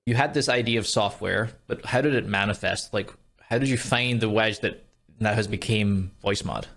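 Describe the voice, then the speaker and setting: A man's voice: on the low side, moderate pace, gravelly, a bit bright. A man hosting a podcast, asking questions in a conversational interview style.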